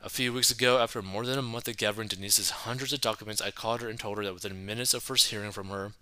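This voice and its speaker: Male host with a lowish, piercingly bright voice, reading an article in a measured way. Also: steady tone, smooth, dry recording. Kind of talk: reading an article aloud, then casual commentary.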